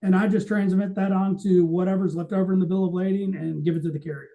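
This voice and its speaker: High-voiced, mellow man explaining emphatically. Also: steady tone, fast, highs cut off. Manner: explanatory, emphatic, acts out quoted remarks. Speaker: podcast co-host. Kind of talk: conversational industry podcast talk.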